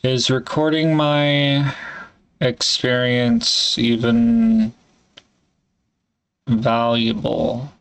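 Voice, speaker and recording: A man in a candid solo vlog, thinking aloud in a halting, hesitant way and swearing casually. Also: mid-range voice, flat delivery, creaky, clear, resonant tone, low-quality recording. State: congested. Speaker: vlogger.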